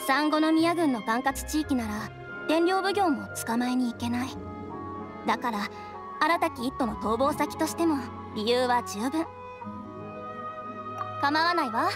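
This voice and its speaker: A woman's voice: high-pitched, expressive, measured pace, pauses often. A woman voicing a composed, knowing young female detective in a Japanese anime game, matter-of-fact and self-assured. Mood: composed, informative. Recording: game music behind.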